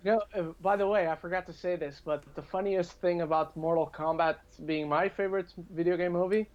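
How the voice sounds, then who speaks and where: A man's voice: high-pitched, fairly even intonation, fairly quick, dull audio. A man chatting casually as a guest on a podcast. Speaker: podcast guest, video reviewer. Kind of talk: casual chat.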